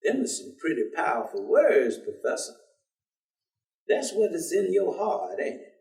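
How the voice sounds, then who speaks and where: An actor playing a folksy, devout, good-humored man in a staged play reading, speaking in loose vernacular and gently probing with questions. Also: high voice, wildly swooping pitch, unhurried, gravelly, full character voice. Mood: thoughtful. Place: indoor theater stage. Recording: echoey theater, distant microphone.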